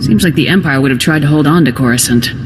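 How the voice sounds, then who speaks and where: A woman's voice: low voice, fast talker, background noise, natural voice. Woman playing a confident, resolute game soldier. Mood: wry. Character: confident, dutiful female soldier, newly promoted officer. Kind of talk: video game voice acting.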